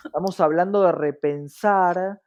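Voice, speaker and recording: A woman's voice: on the low side, measured pace, a little mellow. A woman with an Argentine accent explaining things conversationally as an interview guest, reflective, often checking in with rhetorical questions.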